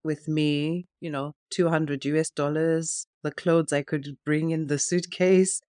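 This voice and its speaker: A middle-aged woman from Zimbabwe talks through her story with a reflective, unhurried flow, padding her thoughts with easy fillers. The sound is close and dry, with no room around her. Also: sits low, a little mellow, no echo. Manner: reflective, explains in long flowing sentences with fillers. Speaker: podcast guest, wealth coach. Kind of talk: relaxed conversational interview.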